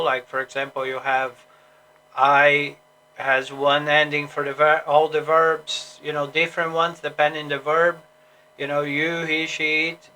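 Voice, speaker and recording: A man's voice: on the high side, bright, forceful tone, a little hiss or hum, low-quality recording. A man from Brazil with a Brazilian Portuguese accent, an English teacher rambling casually on a solo stream with many fillers and restarts.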